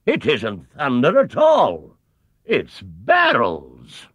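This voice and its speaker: A man voicing a pompous, flustered English gentleman factory owner in a storybook, booming and hesitant like a public speaker. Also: wildly swooping pitch, drawn-out words, husky, dry recording, dull audio, full character voice. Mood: astonished.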